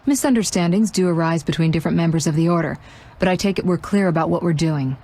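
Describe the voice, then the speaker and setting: An actress playing a shrewd, poised sorceress in a video game, with a composed, knowing delivery. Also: female voice, fairly low pitch, fast, mellow. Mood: composed, concerned.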